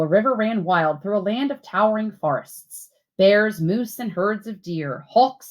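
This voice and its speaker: A woman reading a children's picture book aloud like a teacher, with clear phrasing and expressive, instructive delivery. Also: lowish voice. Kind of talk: storybook read-aloud for children.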